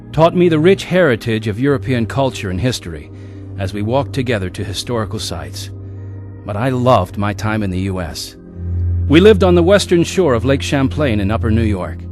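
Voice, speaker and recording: A man acting a confident, adventurous ski instructor in a radio drama. His delivery is highly animated and commanding, with a mid-pitched voice, and music plays along. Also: close to an everyday voice. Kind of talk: radio drama acting and first-person narration.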